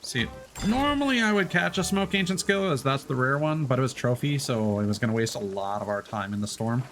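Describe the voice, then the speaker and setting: A man's voice: medium pitch, animated, fairly quick, fairly bright tone, a little hiss or hum. A man casually commenting on his gameplay as a streamer, chatting through tips with many fillers.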